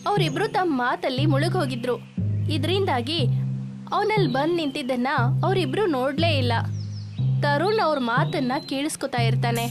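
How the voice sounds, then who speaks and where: A woman narrating a scripted animated moral tale as its storyteller. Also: high-pitched.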